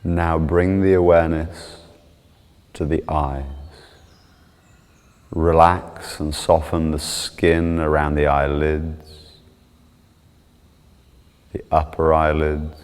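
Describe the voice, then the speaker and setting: A man calmly guiding a meditation, soothing and measured, with long pauses between short instructions. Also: very deep voice, smooth, big-room sound. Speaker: yoga and meditation teacher.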